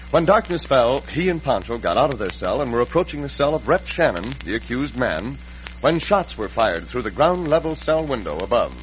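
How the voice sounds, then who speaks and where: A muffled vintage radio recording with faint music carries a male announcer. He narrates a western serial dramatically, with very lively intonation. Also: mid-range voice.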